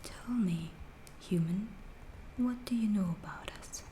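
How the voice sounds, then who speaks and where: A woman playing a calm, curious alien researcher in an ASMR roleplay, speaking softly in halting, deliberate phrases, often whispering. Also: mid-range voice. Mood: calm, inquisitive. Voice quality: soft, breathy, often whispered. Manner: slow, deliberate, halting, clinical and detached. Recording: roomy echo, faint background noise.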